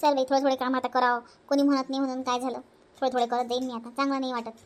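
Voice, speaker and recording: Woman voicing gentle high-pitched elder sister-in-law, Varhadi Marathi. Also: level tone, very fast, close to an everyday voice. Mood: wistful, musing. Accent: Varhadi Marathi from Vidarbha. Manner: conciliatory, hesitant, self-sacrificing. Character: Chhaya, gentle, dutiful eldest sister-in-law in a family. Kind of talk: homely family cartoon voice acting.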